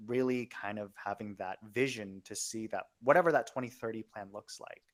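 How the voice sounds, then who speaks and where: A man hosting a podcast interview in a casual, halting way, thinking aloud as he phrases things. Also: fairly low pitch. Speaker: podcast host.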